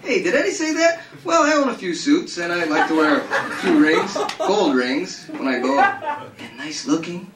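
A breathy, teasing woman purring down a phone line, as a man reading aloud puts her on. She sits high above his own voice. The sound is roomy and heard from some distance. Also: sing-song, noisy background. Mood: coy teasing. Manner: breathy, coy, drawn-out. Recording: roomy hall, mic at a distance.

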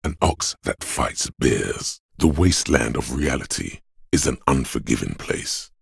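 A man playing a gruff, domineering inner voice of brute strength in a video game, blunt and deliberate in delivery. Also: animated, no echo, studio quality, heavily acted. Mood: proud coaching. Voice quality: gravelly, husky bass. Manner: blunt, domineering gym-coach goading mixed with slow literary narration. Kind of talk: video game voice acting, scripted narration.

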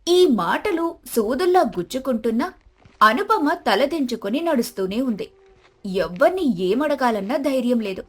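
A woman tells a moral tale as scripted, dramatic narration. Her voice sits fairly high, and music plays clearly behind her. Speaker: story narrator.

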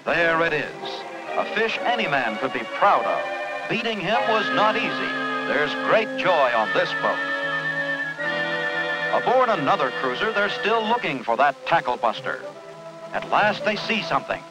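A man's voice: a little high, sing-song, measured pace, poor audio. An American man reading scripted 1950s newsreel-style narration in a dramatic, folksy showman's manner, on an old film soundtrack. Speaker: documentary narrator. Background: loud background music.

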